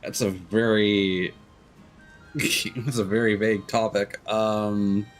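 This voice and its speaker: A man's voice: fairly low pitch, little pitch movement, slow pace, soft background music. A man livestreaming a video game and chatting casually with viewers, hesitant and rambling, often trailing off. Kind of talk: casual chat with stream viewers.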